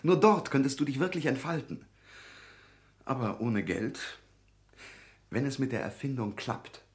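A man's voice: mid-range voice, expressive, measured pace, close to an everyday voice. A man playing an earnest, devoted, self-deprecating young friend in a radio drama. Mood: earnest, urging.

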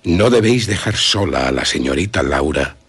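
A man playing a grave, courteous country doctor in a radio drama, with a husky Castilian Spanish voice and measured, formal, authoritative delivery. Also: very deep voice, animated, a bit bright, exaggerated voice. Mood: grave, firm.

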